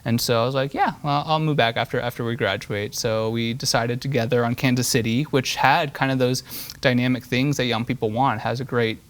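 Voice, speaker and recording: An earnest man in his thirties, from Switzerland, talks through his political vision in a political interview. His voice sits at an ordinary middle pitch, and he restarts and repeats words as he thinks aloud. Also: steady tone, a little fast. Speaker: state representative guest.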